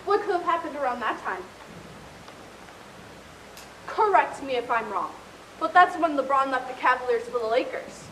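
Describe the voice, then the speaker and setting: Actress as a high-voiced young female interrogator, echoey. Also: lively intonation, normal speed, pauses often, a bit bright. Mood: pointed, accusing. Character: probing young woman cross-examining like a prosecutor. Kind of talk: amateur one-act stage play. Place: indoor stage, recorded from a distance. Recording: echoey stage, distant mic, faint hiss.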